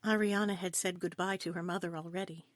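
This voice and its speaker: A woman reading scripted story narration for an audio play in an expressive, theatrical way. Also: fairly low pitch, fairly quick, dry recording, clean studio sound. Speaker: narrator, reader.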